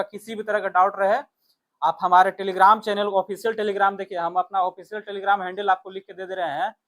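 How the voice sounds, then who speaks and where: A man's voice: sits high, a bit bright. A man teaching an exam-prep lesson, explaining instructively and repeating key points. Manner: instructive, repeats points and reads questions aloud in Hindi and English.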